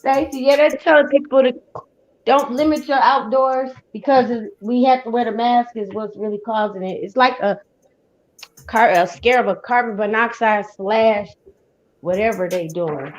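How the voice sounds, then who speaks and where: High-pitched stuffy-voiced woman. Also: moderate pace, rough recording. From Las Vegas. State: stuffy, congested. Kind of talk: casual live-stream chat. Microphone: phone.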